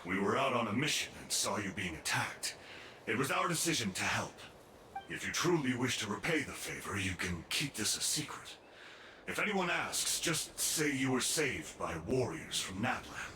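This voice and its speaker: Bright-voiced, formal soldier. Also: male voice, mid-range voice, big pitch swings, a little hiss or hum. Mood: firm, cool. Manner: formal, measured. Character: formal, composed young male soldier, courteous but firm. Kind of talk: video game voice acting.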